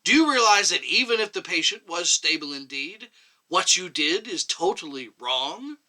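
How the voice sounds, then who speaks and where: A man acting out a stern male chairman in a dramatic story reading. He interrogates with exasperated emphasis, stretching key words. The voice is sharp and forceful, leaping widely in pitch. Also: lively intonation, normal speed, exaggerated voice, low-quality recording. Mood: incredulous, scolding.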